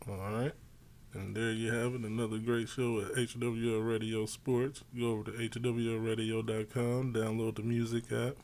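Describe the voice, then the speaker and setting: Brash, swearing sports radio host. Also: male voice, mid-range voice, flat delivery, normal speed, darkish tone. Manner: brash, boastful, swears freely. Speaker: sports talk show host. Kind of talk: casual sports talk.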